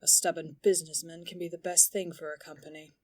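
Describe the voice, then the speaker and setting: A woman playing a middle-aged elf noblewoman and mother in a fantasy audio drama, measured, composed and dryly teasing. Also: low-pitched, level tone, a little mellow. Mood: wry, composed.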